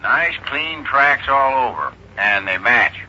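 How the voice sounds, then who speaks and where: An American man playing an eager, dutiful policeman reporting to his sergeant in a 1950s radio drama, crisp and deferential. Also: mid-range voice, lively intonation, takes it slowly, sharp tone, heavily acted. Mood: urgent, reporting. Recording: muffled, noisy 1950s radio recording.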